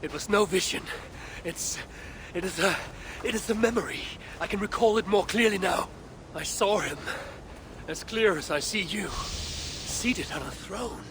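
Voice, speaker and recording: An actor playing a proud, obsessive warrior god in a game cutscene, speaking in a solemn, stubborn, declamatory way with a gravelly voice. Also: male voice, sits high, unhurried. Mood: insistent. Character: proud, obsessive warrior god seeking his lost son. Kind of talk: dramatic video game cutscene acting. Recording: loud background noise of game ambience.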